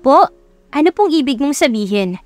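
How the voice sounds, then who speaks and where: A woman's voice: high-pitched, big pitch swings, moderate pace, faint music behind, voice pushed up. A woman voicing a shy, easily flustered young woman tutor in a romance audiobook. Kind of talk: romance audiobook character acting.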